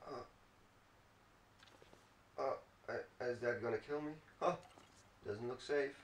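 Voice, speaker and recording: A man's voice: medium pitch, slow pace. Roomy, noisy recording of a male gamer. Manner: muttering to himself, mildly profane. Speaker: gaming video host. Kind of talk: casual let's play commentary. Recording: roomy, distant mic, clearly noisy with clicks.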